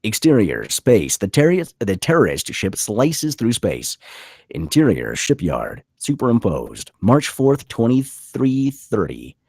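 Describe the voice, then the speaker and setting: Deep, husky man narrating a screenplay. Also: huge pitch swings, takes it slowly, a little mellow, dry recording. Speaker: stage directions reader.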